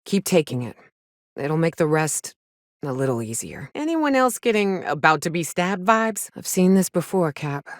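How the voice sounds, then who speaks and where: Brash, low-voiced frontier mercenary. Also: female voice, sing-song, normal speed, dry recording, studio quality. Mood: somber. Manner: folksy, drawling, salty and sardonic phrasing. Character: hard-drinking, trigger-happy frontier mercenary woman, brash and loyal. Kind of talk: video game character dialogue.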